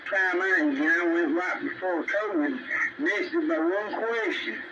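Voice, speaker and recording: A man's voice: high voice, very animated, unhurried, vocal fry. A man with a Tennessee Southern accent chatting informally and talkatively over a CB radio. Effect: two-way CB radio transmission. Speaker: CB radio operator. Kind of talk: casual radio chat. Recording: muffled, distant, very noisy CB signal.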